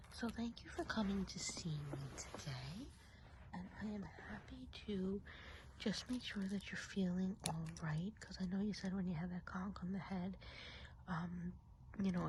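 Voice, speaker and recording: A woman playing a kindly, gossipy witch healer of the woods in a soft, breathy whisper, chatty and soothing, for an ASMR roleplay. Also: lowish voice, noisy background, lightly acted.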